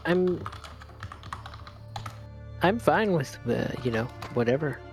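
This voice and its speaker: A man's voice: on the high side, animated, takes it slowly. A man playing a tabletop game, chatting casually and eagerly with the group. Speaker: player. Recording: faint background music.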